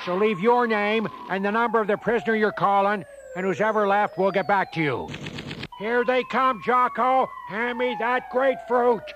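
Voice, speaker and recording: A man's voice: high-pitched, normal speed, noisy background, lightly acted. A man doing a brash, snarling impression of an old gangster-film convict for a comedy tape. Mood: brash, urgent. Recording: muffled tape, loud gunfire sound effects.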